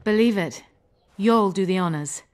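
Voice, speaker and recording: An actress playing a haughty, self-assured sorceress in a video game, speaking in a cool, imperious way. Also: female voice, medium pitch, measured pace, natural voice. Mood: commanding, teasing.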